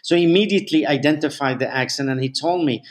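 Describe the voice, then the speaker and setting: A middle-aged man from Lebanon, a rabbi answering interview questions in a measured, halting way with many restarts. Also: fairly high pitch.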